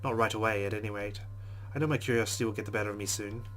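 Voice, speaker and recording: A man's voice: on the low side, fairly even intonation, very fast, fairly bright tone, a little hiss or hum. Man reading a game script aloud. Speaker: let's play host. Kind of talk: reading a visual novel aloud.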